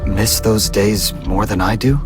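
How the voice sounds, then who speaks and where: An actor playing a wistful, worried guild leader in an anime game, reflective and gentle in his delivery. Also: on the low side, steady tone, measured pace, over music, close to an everyday voice. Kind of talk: anime game cutscene acting.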